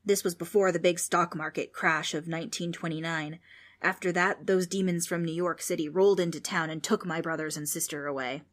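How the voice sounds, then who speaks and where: Woman doing a cranky old male ghost, bossy, theatrical, storybook spook, lowish voice. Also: fairly even intonation, brisk, fairly bright tone, lightly acted. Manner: bossy, irritable, grandly spooky.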